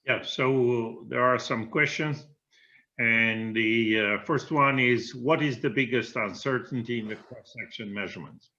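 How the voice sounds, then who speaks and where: Bright-voiced man, dull lo-fi sound. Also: mid-range voice, unhurried. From Romania. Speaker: moderator reading questions. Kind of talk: reading out audience questions.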